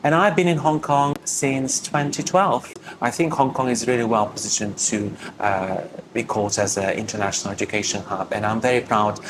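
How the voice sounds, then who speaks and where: A man from Russia, an English teacher talking earnestly in an interview, pausing often as he thinks. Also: medium pitch, fairly even intonation. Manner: earnest, chatty, with many pauses. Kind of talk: documentary interview talk. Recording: background music and ambient noise.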